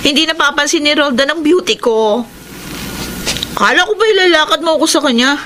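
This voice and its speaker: High-pitched brash flirty Filipina. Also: female voice, lively intonation, fairly quick, fairly bright tone, slight background noise. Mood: flirty, cheeky. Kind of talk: Filipino radio drama acting.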